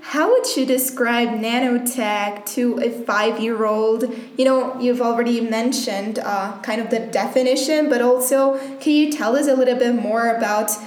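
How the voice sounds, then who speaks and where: A woman's voice: a little high, measured pace, few pauses. Woman interviewer, friendly, kinda rambly questions, echoey room. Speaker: podcast host. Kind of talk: casual interview.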